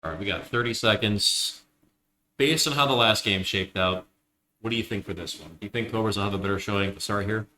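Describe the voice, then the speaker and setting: A young man doing live game commentary, quick and joking with self-deprecating asides. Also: on the low side, sharp tone, low-quality recording. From Illinois, United States. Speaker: esports commentator.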